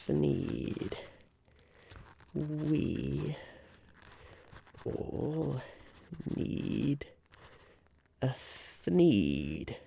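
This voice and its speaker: A man playing a scheming, self-important businessman in a soft-spoken ASMR roleplay, halting and musing as he repeats himself. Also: on the high side, creaky, slight background noise, exaggerated voice. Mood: pondering, pleased.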